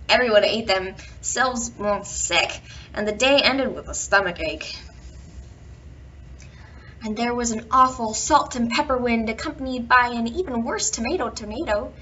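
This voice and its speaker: A woman reading a children's picture book aloud, with expressive emphasis and drawn-out words in a storytelling manner. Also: fairly high pitch. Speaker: children's bedtime story reader. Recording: dull, distant home recording with background noise.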